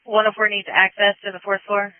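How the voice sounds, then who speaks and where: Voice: mid-range voice, monotone, brisk, smooth. A police radio dispatcher relaying messages in a clipped, procedural way, repeating them back over a radio channel. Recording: narrowband police radio transmission.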